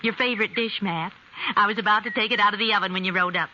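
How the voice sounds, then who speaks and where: A woman's voice: mid-range voice, fairly even intonation, fast talker, natural voice. An actress playing a hospitable, homey farm wife and mother in a 1950s radio western. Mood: cheerful, welcoming. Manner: homey, folksy, welcoming. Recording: noisy, muffled 1950s radio recording.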